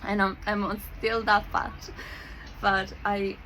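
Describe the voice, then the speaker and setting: A woman's voice: mid-range voice, flat delivery, slow pace, bright, forceful tone, a little hiss or hum, low-quality recording. A woman from Serbia with a Serbian accent, talking casually to camera as a spiritual coach, chatty with many fillers and frequent laughs.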